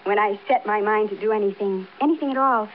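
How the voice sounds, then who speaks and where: A woman's voice: medium pitch, quick pace, lightly acted. An actress playing a fragile, newly widowed American woman, genteel, hesitant and politely evasive, in old-time radio drama. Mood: evasive, tense. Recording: muffled, noisy vintage radio broadcast.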